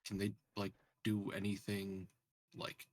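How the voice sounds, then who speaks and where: Husky man, slightly low voice. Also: monotone, slow, a little mellow, dry recording. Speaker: tabletop game player. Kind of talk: casual game table chat.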